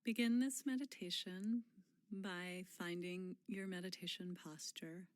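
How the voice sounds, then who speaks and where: Slow, gentle woman guiding meditation. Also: lowish voice, fairly even intonation, dry recording. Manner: gentle, unhurried, with long pauses and soft breathy phrase endings. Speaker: meditation guide. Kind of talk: guided mindfulness meditation.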